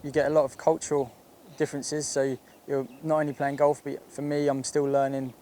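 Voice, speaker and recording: A man talks in a flat, even voice, a golfer reflecting in an interview. He stumbles over some of his words. Faint noise sits behind him. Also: mid-range voice, fairly quick. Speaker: professional golfer.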